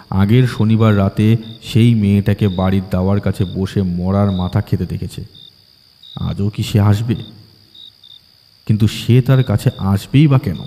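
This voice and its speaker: Dark-voiced male horror narrator. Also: lowish voice, a little hiss or hum. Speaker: story narrator. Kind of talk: scripted horror audiobook narration.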